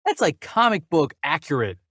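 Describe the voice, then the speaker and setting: A man hosting a casual movie news chat, jokey and animated, sometimes stumbling as he reads. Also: a little high, moderate pace, breathy edge, no room sound, studio quality. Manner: jokey, animated, stumbles over names when reading. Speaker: podcast host reading and discussing movie news.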